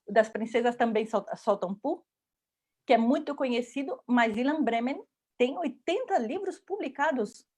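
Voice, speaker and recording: Enthusiastic, effusive woman from Brazil, slightly high voice; interviewer on a video call. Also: expressive, normal speed, darkish tone, husky. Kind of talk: casual interview.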